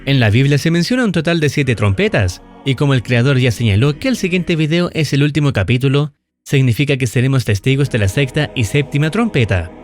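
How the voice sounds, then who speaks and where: A man reading scripted narration in a measured, explanatory storytelling way, as in a recap and analysis video. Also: medium pitch, lively intonation. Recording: music under the voice. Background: background music.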